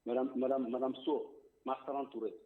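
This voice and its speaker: A man's voice: medium pitch, flat delivery, brisk. A man speaking as a diaspora representative on a political radio show, outspoken and emphatic, sometimes repeating words as he thinks. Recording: tinny phone-line sound.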